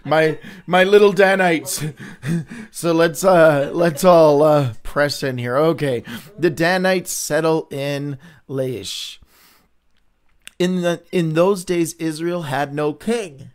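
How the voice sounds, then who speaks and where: A man's voice: a little high, slow, clean tone. Upbeat man with a stuffy nose. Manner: upbeat, chatty, greets viewers by name. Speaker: host of a Bible reading livestream. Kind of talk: casual livestream chat and scripture reading.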